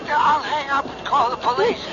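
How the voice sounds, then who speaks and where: An actress playing a calm, folksy, reassuring woman on the telephone in a radio drama. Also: high-pitched, moderate pace, over a noisy crowd. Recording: noisy, muffled vintage radio broadcast.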